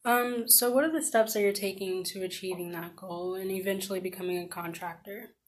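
A woman's voice: mid-range voice, fairly quick. A young woman, a student podcast host, asking friendly interview questions with some ums. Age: young adult.

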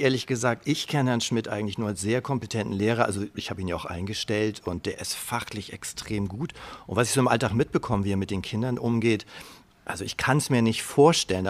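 A man's voice: fairly low pitch, close to an everyday voice. A man playing a friendly, cautious school headmaster, speaking colloquially and hesitantly in a radio play. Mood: cautious, doubtful.

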